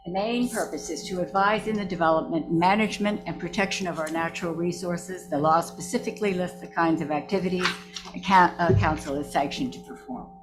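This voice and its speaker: A woman with a soft voice speaking at a village board hearing, precise and corrective, laying out facts. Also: lowish voice, fairly quick, music in the background, low-quality recording. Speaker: resident speaking at public hearing. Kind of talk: public comment at a meeting. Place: village board meeting room.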